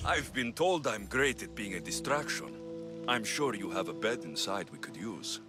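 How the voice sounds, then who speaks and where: An actor playing a cocky, flirtatious Greek mercenary hero in a video game, bold and teasing. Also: male voice, fairly low pitch, expressive, moderate pace, music in the background, lightly acted. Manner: bold, smooth-talking, teasing. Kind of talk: video game cutscene acting.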